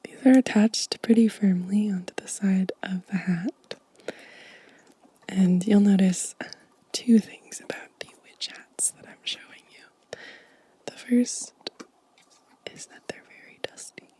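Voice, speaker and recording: A woman whispering gently and softly in an ASMR show-and-tell style, breathy and unhurried. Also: medium pitch, no echo. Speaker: ASMR video creator.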